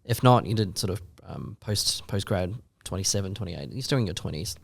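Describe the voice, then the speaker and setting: Low-voiced man around forty, chatty co-host. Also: animated, brisk, vocal fry, husky, no echo. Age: 40s. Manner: rambling, self-deprecating, asks long questions. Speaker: podcast co-host. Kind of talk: casual chat.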